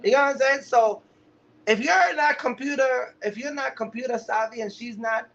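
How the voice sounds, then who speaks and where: High-voiced man, casual livestream host. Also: moderate pace, vocal fry, fairly bright tone. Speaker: livestream host, trucking business mentor. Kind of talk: casual live chat to viewers. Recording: dull, lo-fi live stream audio.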